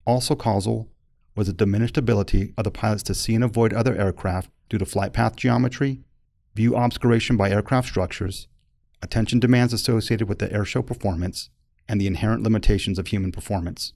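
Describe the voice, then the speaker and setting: A man delivers formal scripted report narration in a low register with a gravelly edge. He is measured, factual and impersonal. The recording is close and dry, with no room around the voice. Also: fairly even intonation, darkish tone, no room sound.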